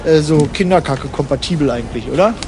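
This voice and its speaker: An easygoing, self-satisfied traveller played by a man with a Berlin accent and a high voice. He talks in halting, colloquial radio play banter, childishly pleased with himself, over clear ambient noise. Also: natural voice. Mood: playful, bickering.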